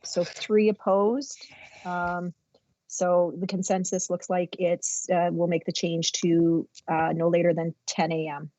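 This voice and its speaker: A woman's voice: fairly low pitch, little pitch movement, slow, dark tone, no echo, highs cut off. A woman chairing a board meeting over a video call, calling on speakers in a polite, procedural way.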